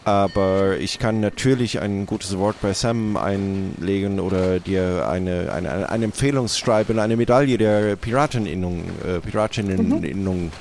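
Flustered acting pirate captain played by a man, low register, formal and halting, for improvised tabletop adventure. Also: darkish tone, a little hiss or hum. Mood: hesitant, formal. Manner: halting, hesitant, wordy.

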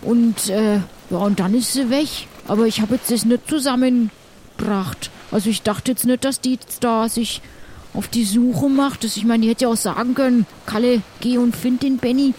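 A woman's voice: fairly high pitch, moderate pace, darkish tone, slight background noise, natural voice. A woman playing a plucky pirate crew member in improvised roleplay, rambling colloquially. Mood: puzzled.

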